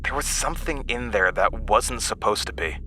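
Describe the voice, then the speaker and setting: A man's voice: fairly low pitch, normal speed, bright, forceful tone, a little hiss or hum. A man playing an earnest, rueful narrator of a horror audio drama, recounting his childhood in conversational, confessional asides. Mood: uneasy. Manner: conversational, hesitant asides to the listener.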